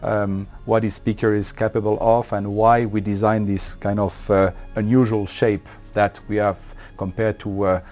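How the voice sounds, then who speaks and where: A man with a French accent, a company representative explaining his products in a trade show interview, with many fillers and pauses. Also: on the low side, level tone, a little mellow, muffled recording, soft background music.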